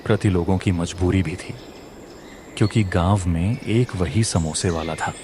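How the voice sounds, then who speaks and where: Male narrator, low voice, measured storybook telling, audible background noise.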